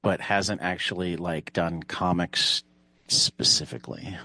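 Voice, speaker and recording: A man's voice: sits low, unhurried, bright, forceful tone, dry recording. A man hosting a podcast, chatting casually with a group. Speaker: podcast host.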